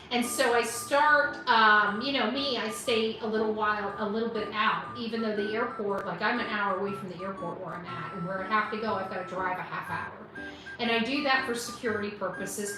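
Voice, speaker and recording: A woman's voice: mid-range voice, recorded from a distance. A woman hosting a casual livestream, rambling informally with exasperated asides. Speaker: livestream host. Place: indoors, hotel. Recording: very echoey room, away from mic, music behind.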